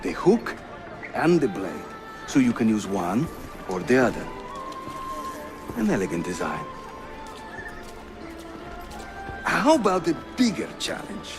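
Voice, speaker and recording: A man's voice: medium pitch, wildly swooping pitch, unhurried, long pauses, over music. An actor playing a jovial, roguish Ottoman assassin guide in a video game, with a Turkish accent and a theatrical, teasing manner. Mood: playful, proud. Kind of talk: video game cutscene acting.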